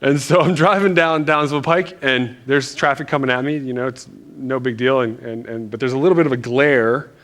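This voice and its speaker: A man from Northern Virginia preaching in a church, telling a story in a loose, animated, self-deprecating way with lots of restarts. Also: medium pitch, fairly quick, few pauses. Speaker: pastor. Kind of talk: casual storytelling sermon.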